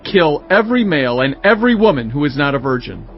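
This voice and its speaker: A man playing a stern, anguished spokesman of the Israelite assembly in a dramatized audio Bible, husky and forcefully declaiming. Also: on the high side, sing-song, quick pace, soft background music. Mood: stern, commanding. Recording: dull lo-fi audio.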